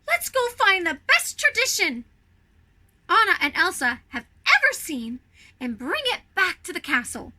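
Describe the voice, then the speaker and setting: A woman voicing a small, naive, excitable male snowman in a storybook, eager and exclamatory. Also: extremely high pitch, a little slow, voice pushed up, exaggerated voice.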